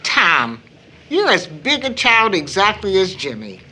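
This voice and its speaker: A woman playing a firm, loving mother of grown children in an audio drama, with measured, formal speech. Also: medium pitch, sing-song, sharp tone, heavily acted. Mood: mildly scolding.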